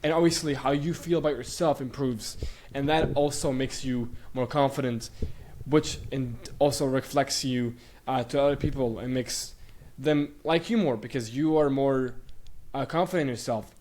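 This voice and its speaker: A man's voice: medium pitch, moderate pace, hardly any pauses, a little mellow. A young man from Bulgaria who grew up in Sweden, talking as a guest in a casual interview, rambling with many fillers and restarts. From Bulgaria, raised in Sweden.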